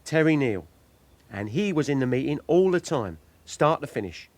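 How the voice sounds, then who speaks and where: An actor playing a guarded small-time police informant who haggles for money in a British radio crime drama. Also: male voice, mid-range voice, sing-song, moderate pace, a little mellow, no room sound, close to an everyday voice. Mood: confiding. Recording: clear background ambience noise.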